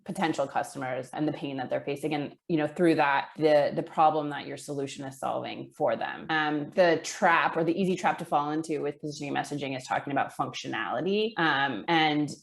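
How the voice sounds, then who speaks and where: A woman in her thirties with a low-pitched voice talks as an interview guest. She explains her work conversationally, dropping in small check-ins, from a video call on earbuds. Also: a little fast, vocal fry, hardly any pauses. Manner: explanatory, checks in with "right" and "you know". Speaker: interview guest, marketing manager. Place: indoor room at home, video call. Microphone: wired earbuds on a video call.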